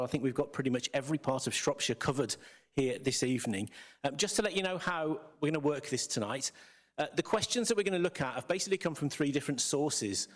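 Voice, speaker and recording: A man hosts a business debate in a theatre, talking quickly and keeping things moving. His pitch sits in a middle range, and he sounds brisk, organised and friendly as he sets out the rules and introduces each candidate. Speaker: debate host. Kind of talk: hosting a hustings debate. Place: theatre with audience.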